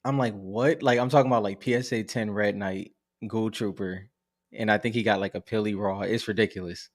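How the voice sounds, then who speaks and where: Laid-back dude with a lower voice, slangy podcast banter, super colloquial. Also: male voice, steady tone, fairly quick. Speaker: co-host of a live podcast.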